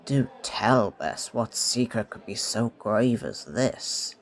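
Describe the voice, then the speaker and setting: An inquisitive pirate tavern mate with a gravelly edge. He takes his time, questioning and easily indignant, in the old sea talk of an audio play. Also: lowish voice, lively intonation, takes it slowly, heavily acted. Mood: intrigued. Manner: inquisitive, old seafaring phrasing.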